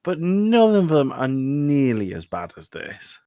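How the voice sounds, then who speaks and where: A man's voice: mid-range voice, huge pitch swings, measured pace, warm tone, no room sound, clean studio sound. A man hosting a podcast, chatting casually and jokingly about films. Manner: joking, conversational. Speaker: podcast host. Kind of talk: casual film discussion.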